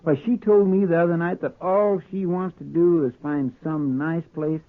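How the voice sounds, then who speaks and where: Man playing folksy american marshal's sidekick, earnest, kinda high for a guy, tinny old radio western. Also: fairly even intonation, moderate pace, close to an everyday voice. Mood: earnest, admiring. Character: earnest, soft-hearted, deferential marshal's helper in frontier town. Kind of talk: 1950s radio western acting. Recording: muffled, narrow-band old radio recording.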